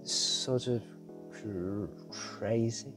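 A man reading an audiobook, voicing a frightened young girl who speaks softly and hesitantly. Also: fairly low pitch, very slow, soft background music, voice pushed up. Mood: small tense. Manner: soft, hesitant, murmuring.

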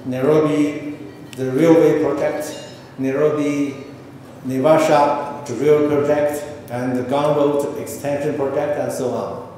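A man's voice: a little high, mellow. Echoey speech, middle-aged Chinese-accented man. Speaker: official giving a speech. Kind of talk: formal scripted speech read aloud. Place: indoor event hall, at a podium. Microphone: handheld microphone. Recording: very echoey hall, faint background noise.

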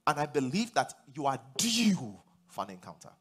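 A Nigerian man in his forties preaches from a large church stage through a headset mic. His voice sits a little high and sounds husky. He moves between quieter stretches and loud, emphatic declarations. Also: very animated, moderate pace. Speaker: pastor. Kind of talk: sermon.

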